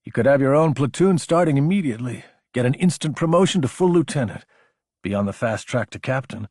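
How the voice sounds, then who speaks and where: A man voicing an earnest, steady military officer in an audiobook, speaking in a measured, serious way. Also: medium pitch, no room sound, clean studio sound, voice pushed up, lightly acted. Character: earnest, steady young military officer recruiting his friend.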